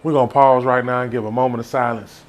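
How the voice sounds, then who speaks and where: A man's voice: medium pitch, level tone, quick pace. A man from Texas with a Southern US accent, telling comic stories in a casual, playful, rambling way. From Texas, United States. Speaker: storyteller.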